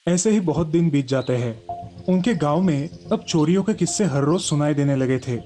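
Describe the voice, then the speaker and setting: Mellow male children's storyteller. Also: fairly high pitch, animated, moderate pace. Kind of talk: scripted children's story narration.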